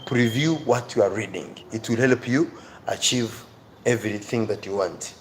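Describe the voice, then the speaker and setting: A man's voice: lowish voice, normal speed. A man teaching on a livestream, speaking emphatically, repeating phrases and urging his viewers directly. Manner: addresses viewers directly, pleading and encouraging. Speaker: online teacher and livestream host. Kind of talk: livestream English reading-skills lesson. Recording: clear background noise.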